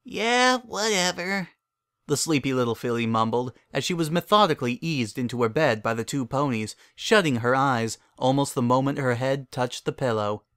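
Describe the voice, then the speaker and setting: A man's voice: medium pitch, a little fast, few pauses, a bit bright, clean tone, no echo. Man narrating a fairy tale, dry close recording. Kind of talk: dramatic fairy tale reading.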